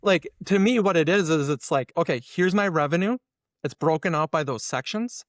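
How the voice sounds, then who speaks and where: A man's voice: fairly high pitch, normal speed, dry recording, clean studio sound. A man speaking as an interview guest, casually and with strong opinions, acting out imagined exchanges as he explains. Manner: animated explainer who acts out hypothetical dialogue. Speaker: interview guest, SEO consultant.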